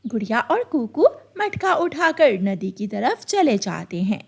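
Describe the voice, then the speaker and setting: A woman's voice: on the high side, very animated, measured pace, creaky, slightly rough. A woman narrating a scripted cartoon story.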